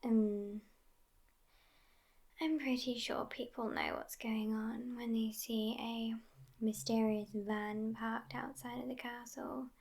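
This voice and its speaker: A woman playing a sassy, aloof, youthful but centuries-old vampire girlfriend in a soft, near-whispered ASMR roleplay. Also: a little high, steady tone, slow, gravelly, full character voice. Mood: languid, sassy. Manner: languid, aloof, hushed, often near whispering.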